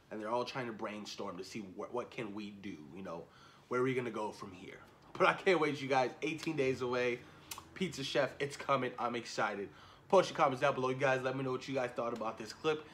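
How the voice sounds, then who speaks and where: A man in his thirties chatting casually and excitedly to camera, slangy and repeating himself for emphasis, as a reaction video host. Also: medium pitch. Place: small indoor room at home. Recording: noticeable background noise, home camera recording.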